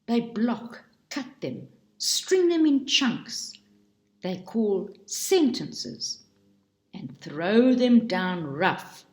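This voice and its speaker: A woman reading a book aloud in a slow, deliberate and expressive way. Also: medium pitch. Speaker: reader. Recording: roomy echo.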